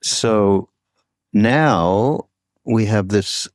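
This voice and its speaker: Slow, low-voiced man hosting a podcast. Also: no room sound, clean studio sound. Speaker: podcast host. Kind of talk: casual conversational interview.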